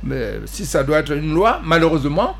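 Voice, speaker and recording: A man from the Central African Republic, a party president speaking as a guest in a panel debate, halting, repeating words and pressing his points. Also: fairly high pitch, sing-song, gravelly, a bit bright, a little hiss or hum. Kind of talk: panel talk show debate.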